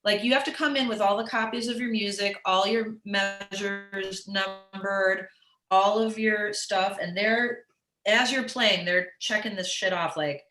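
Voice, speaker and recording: A middle-aged woman with a raspy alto voice, chatting casually and candidly as an interview guest, swearing freely and peppering her talk with filler phrases. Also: medium pitch, measured pace, faint music behind. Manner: animated, profane, peppers talk with "like" and "you know". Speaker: interview guest, musician and comedian. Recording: roomy echo.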